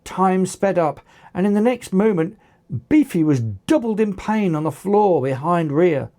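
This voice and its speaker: A narrator reading an action story as its author, with animated, dramatic delivery and acted dialogue. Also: medium pitch. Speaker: author narrating own book.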